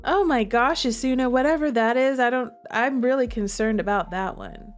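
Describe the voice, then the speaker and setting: Slow, dramatic woman streamer. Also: sits high, lively intonation, soft background music. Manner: dramatic, chatty, reads game text with feeling. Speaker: game streamer. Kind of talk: playing and reading a visual novel aloud.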